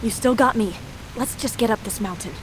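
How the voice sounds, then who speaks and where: A woman's voice: fairly high pitch, fairly quick, noisy background, lightly acted. An actress playing a confident, caring young female martial disciple in a video game, spirited and direct, with music behind. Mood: determined. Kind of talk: video game cutscene acting.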